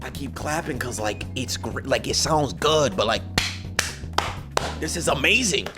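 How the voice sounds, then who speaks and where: Young male streamer, excitable slangy reactions, lively mid-pitched voice, music playing behind. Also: measured pace. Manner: excitable, slangy, repeats words. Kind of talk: casual reaction commentary.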